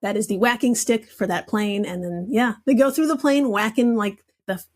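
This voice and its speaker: A woman with a fairly high voice runs a comedic trivia quiz. She moves between reading questions and chatting casually, with a playful storytelling manner. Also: moderate pace, darkish tone. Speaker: podcast guest quizmaster.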